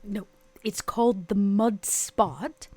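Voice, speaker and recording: Female player as imperious vampire superior for game podcast, mid-pitched husky voice, curt, exasperated, withering. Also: a little mellow, no room sound. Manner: clipped, withering, deliberate.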